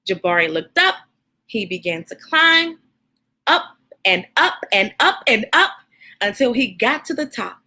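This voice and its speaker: A woman hosting a children's storybook read-aloud, expressive and encouraging, speaking directly to young listeners like a teacher. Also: fairly high pitch, a little slow.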